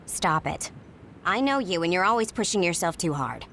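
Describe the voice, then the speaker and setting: A woman's voice: on the low side, expressive, normal speed, a bit bright, a little hiss or hum. A woman playing a lazy but caring senior soldier in an anime game, casual and nonchalant, with music behind her. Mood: concerned. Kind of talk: English anime game voice acting.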